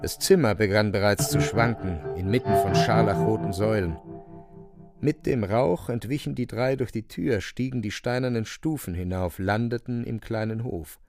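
A man's voice: on the low side, moderate pace, over music. A man giving solemn, theatrical literary narration for a radio play.

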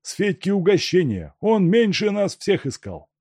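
A man's voice: sits high, very animated, normal speed, mellow, husky, dry recording, studio quality, pitched above the performer's own voice, full character voice. A man doing a greedy, gleeful male thief. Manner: eager, whispery glee.

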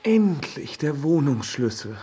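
A man's voice: a little high, very animated, takes it slowly, mellow, heavily acted. Apologetic troubled man, long reverb. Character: troubled, apologetic, secretive married male neighbor. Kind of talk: German radio drama acting. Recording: long reverb, clear background noise.

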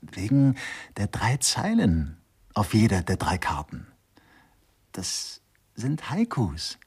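A pompous, pedantic connoisseur in a radio drama. His voice is extremely husky and breathy, on the low side. He explains himself slowly, piece by piece. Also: wildly swooping pitch, heavily acted. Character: pompous man expounding murder as a work of art. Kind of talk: German radio play dialogue.

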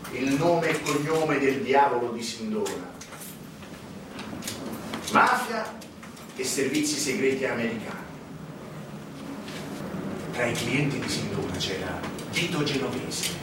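An actor playing a sardonic storyteller in a theatrical monologue, declaiming with dramatic pauses and rhetorical questions. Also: male voice, a little high. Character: sardonic male storyteller recounting a dark financial scandal. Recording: echoey hall, distant mic, noisy.

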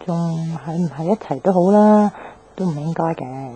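A woman's voice: deep voice, expressive, moderate pace, warm tone, slight background noise. An actress in a Hong Kong Cantonese radio drama playing a tender, anxious young woman torn in love. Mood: worried, earnest.

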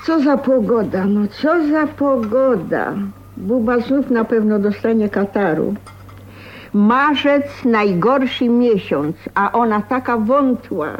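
An actress playing a fussy, fretful older aunt who nags and gossips in a radio drama. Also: female voice, fairly high pitch, lively intonation, takes it slowly. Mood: fretful, gossipy. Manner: fussing, nagging, gossipy. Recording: dull, slightly noisy radio play recording.

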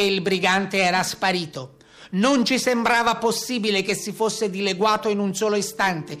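A woman playing a member of a police patrol, recounting a hunt for brigands in a measured, orderly radio-play monologue. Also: mid-range voice, fairly bright tone, close to an everyday voice.

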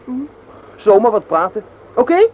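A man's voice: sits high, very animated, moderate pace. A young man playing a cheeky, stubborn teenage schoolboy in a radio drama, teasing and flippant. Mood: teasing, earnest. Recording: dull, noisy old radio drama recording.

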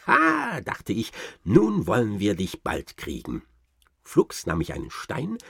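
A man playing a boastful nobleman huntsman who tells tall tales with theatrical, self-satisfied emphasis in a radio drama, his voice gravelly and husky. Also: low-pitched, no room sound, studio quality, heavily acted. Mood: gleeful. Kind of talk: dramatic literary storytelling for radio.

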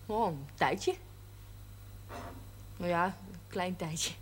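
A young woman with a Dutch accent playing a brash, quick-tongued miner's daughter in a 1970s TV drama. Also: fairly low pitch, slightly rough, full character voice. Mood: cheeky.

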